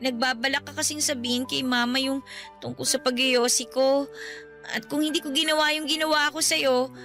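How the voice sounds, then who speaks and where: A guilty, high-voiced Filipino teenage girl. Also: fairly even intonation, moderate pace, bright, forceful tone, soft background music. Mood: guilty, pleading. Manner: pleading, hesitant. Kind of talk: Filipino radio drama acting.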